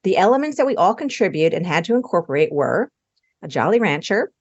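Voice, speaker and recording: A woman's voice: lowish voice, very animated, a little fast, no room sound. Woman podcast host, dry dull recording. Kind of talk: casual podcast introduction.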